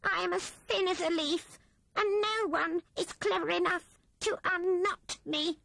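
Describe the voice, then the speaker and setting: A woman's voice: very high-pitched, fairly even intonation, a little slow, gravelly, fairly bright tone, dry recording, pitched above the performer's own voice, heavily acted. A woman voicing a thin, timid male rat thief in a storybook, in a supplicating manner. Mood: pleading.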